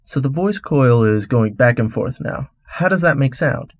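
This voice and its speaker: A man's voice: medium pitch, animated, moderate pace, over music. A man hosting a radio segment, reading a scripted explainer in an instructional, step-by-step way. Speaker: radio host.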